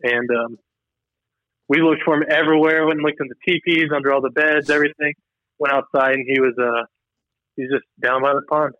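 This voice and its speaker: A man's voice: fairly high pitch, fairly even intonation, a little fast. A young man co-hosting a podcast over a call, rambling loosely through stories and swearing casually. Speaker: podcast co-host. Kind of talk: casual chat. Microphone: remote call line. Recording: dull lo-fi call audio.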